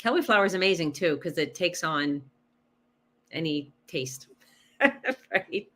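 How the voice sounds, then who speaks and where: Lively woman coaching with quick rhetorical questions. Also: sits low. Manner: animated, explains with numbers and rhetorical questions. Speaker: health coach guest. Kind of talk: casual interview chat.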